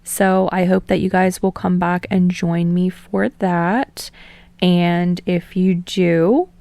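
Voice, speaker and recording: Creaky-voiced woman reading fiction. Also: fairly low pitch, steady tone, takes it slowly, dark tone, no echo. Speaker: podfic narrator. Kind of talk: expressive fiction narration with casual sign-off.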